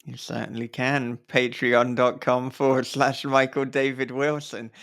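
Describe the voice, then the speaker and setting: A man's voice: medium pitch, level tone, unhurried, creaky, fairly bright tone. A man hosting a casual podcast interview in a jokey, rambling way, often chuckling through his phrases. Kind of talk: casual, joking interview chat.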